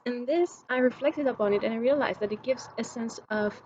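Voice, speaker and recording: A woman's voice: fairly high pitch, brisk, a little mellow, highs cut off, a little hiss or hum. A young woman from Greece, talking to camera in a reflective personal vlog, musing aloud with many restarts while she searches for her words. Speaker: vlogger.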